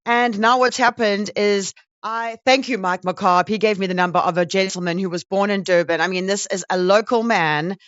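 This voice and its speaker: A woman's voice: mid-range voice, fairly even intonation, normal speed, few pauses, fairly bright tone, no echo, dull audio. A woman with a South African accent hosting an interview in a chatty, informal, effusive way. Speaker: radio or show host interviewing a guest. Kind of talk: conversational interview.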